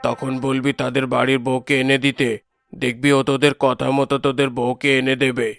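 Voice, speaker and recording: Man voicing a village healer, instructing, authoritative, little pitch movement, cartoon tale, music behind. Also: flat delivery, fairly quick, gravelly, a bit bright, no room sound, studio quality. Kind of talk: children's fairy tale cartoon acting.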